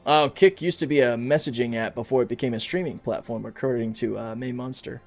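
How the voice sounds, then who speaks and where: A man's voice: mid-range voice, fairly even intonation, brisk, vocal fry, faint music behind. A man in his thirties chatting casually on a game livestream, reading out and answering chat comments as he plays. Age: 30s. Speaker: streamer.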